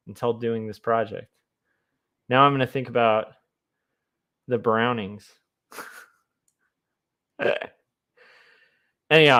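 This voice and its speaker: A man with a loud voice chatting casually to viewers on a livestream while he draws. He rambles, with lots of fillers, and talks into a mic placed close to him. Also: medium pitch. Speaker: comic artist streamer. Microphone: desk mic close to the mouth.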